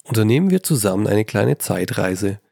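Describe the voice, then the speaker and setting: A man hosting a solo writing podcast, slightly hoarse from a cold, talking in a chatty, self-deprecating way into a handheld mic in a small bedroom. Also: on the low side, animated, normal speed, mellow, dry recording, studio quality. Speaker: podcast host. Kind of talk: casual solo podcast talk. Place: old childhood bedroom at his mother's house. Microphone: handheld microphone.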